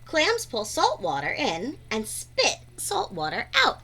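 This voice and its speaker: Bright-voiced woman reading to children, very animated. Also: high voice, takes it slowly, vocal fry, slight background noise. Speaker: read-aloud storyteller. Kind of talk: children's picture book read-aloud.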